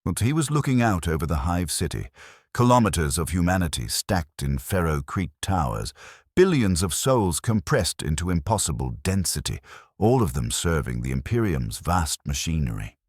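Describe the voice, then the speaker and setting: A man with a husky, gravelly voice narrating a dramatic scripted story in a solemn, measured way, in short clipped phrases. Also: low-pitched, big pitch swings, dry recording, studio quality. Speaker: narrator of lore stories.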